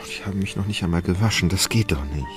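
An actor playing a lethargic, fretful Russian gentleman in a radio play, querulous and full of whining self-pity. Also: low-pitched, fast talker, natural voice. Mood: brooding, self-pitying. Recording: music behind the voice.

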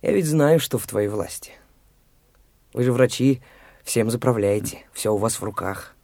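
A man's voice: mid-range voice, normal speed, a little mellow, no echo, close to an everyday voice. An actor playing Mitya, a brash, pushy young man who talks in a taunting, blunt way, in a studio radio play.

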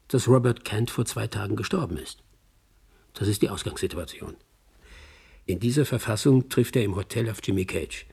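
Dry knowing agent telling a case, really husky creaky man, radio play narration. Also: fairly low pitch, a little mellow, heavily acted. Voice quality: gravelly, husky. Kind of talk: radio drama commentary.

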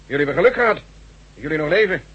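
A police lieutenant with a husky, fairly high voice. He speaks with emphatic, grim authority in radio-play style. The recording is old and dull. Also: lively intonation, rapid-fire, a bit bright. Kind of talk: Dutch radio drama acting.

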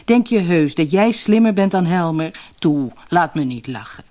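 A sneering old father from a storybook, acted out by a woman. He talks with open contempt and mockery, his pitch swinging widely as he belittles. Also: lively intonation, normal speed, dark tone, natural voice. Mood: scornful. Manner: contemptuous, mocking. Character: scornful old furniture maker, a belittling father. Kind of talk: fairy-tale audiobook character voice.

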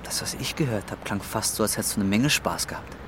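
A man's voice: fairly low pitch, fast, noisy background, lightly acted. An actor in a radio drama playing a desperate, bitter drug courier in his twenties, coarse and weary. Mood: bitter, hurt. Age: 20s. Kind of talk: radio drama acting and first-person narration.

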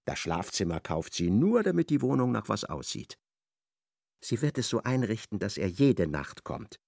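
A male radio play narrator with a very animated, theatrical delivery. His voice has a creaky grain and sits in a dry, close recording as he relays what people said. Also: fairly low pitch, normal speed, dry recording. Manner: theatrical, retells dialogue in indirect speech.

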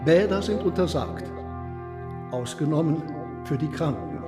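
A solemn abbot in a reverberant hall. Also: male voice, a little high, expressive, unhurried. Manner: solemn, formal, declaiming. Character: stern, formal medieval Benedictine abbot. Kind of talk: radio drama acting. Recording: long reverberant hall, music behind.

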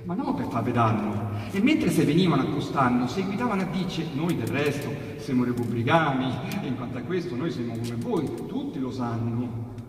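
A man with a Roman accent reciting dialect poetry as theatrical storytelling, emphatic and colloquial, with dramatic pauses. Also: mid-range voice, lively intonation. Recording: very echoey hall from far off, faint noise.

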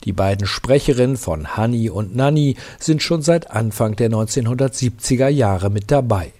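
A male reporter narrates a scripted radio feature. His voice is medium in pitch, with a soft, mellow tone. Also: moderate pace.

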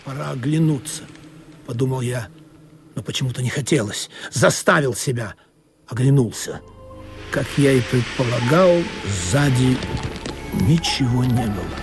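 An actor playing a wistful, questioning sailor who tells of an absurd sea voyage in a deliberate, musing radio play manner. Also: male voice, mid-range voice, lively intonation. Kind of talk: literary radio play narration and acting. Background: music under the voice.

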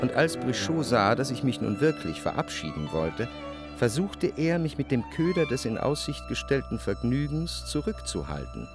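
An introspective, anxious gentleman narrates his memories in a radio play. His medium-pitched voice is measured and reflective, with literary phrasing. Music plays clearly behind him. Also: sing-song. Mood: brooding. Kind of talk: scripted first-person radio play narration.

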